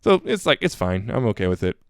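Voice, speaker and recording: Low-voiced man in casual podcast chat. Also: sing-song, fast, gravelly, clear, resonant tone, no echo, clean studio sound. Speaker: podcast co-host reviewing fan music.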